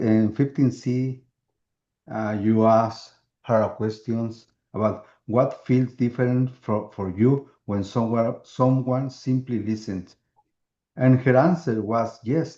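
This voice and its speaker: A man's voice: medium pitch, mellow, highs cut off. A man, a pastor and chaplain in a peer group, who searches for his words and rephrases as he speaks. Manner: searching, repeats and rephrases as he goes. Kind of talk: group discussion.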